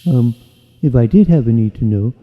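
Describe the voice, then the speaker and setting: An actor playing a friendly, patient schoolboy who speaks mildly and drops gentle hints. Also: male voice, mid-range voice, animated, moderate pace, big-room sound, phone-quality sound, soft background music, close to an everyday voice. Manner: mild and gently hinting.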